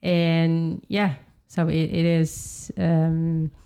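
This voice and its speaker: A woman from Brazil, a podcast guest chatting casually, laughing often and filling pauses with "um". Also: deep voice, level tone, dark tone, clear, resonant tone. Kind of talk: casual chat. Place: home in London.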